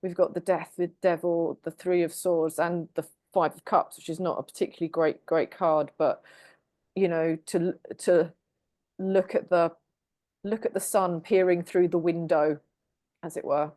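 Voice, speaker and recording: Brief: woman tarot reader, lowish voice, dry close sound, chatty and reassuring, repeats phrases. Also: fairly even intonation, unhurried, warm tone, no echo. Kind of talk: casual spiritual panel chat.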